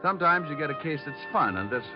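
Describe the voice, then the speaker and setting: A man's voice: fairly high pitch, lively intonation, fast talker, over music, natural voice. Breezy wisecracking American radio detective played by a man. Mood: wry. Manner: breezy, teasing banter and narration. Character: wisecracking, easygoing male private detective. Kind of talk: 1940s radio detective drama acting. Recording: muffled old radio broadcast.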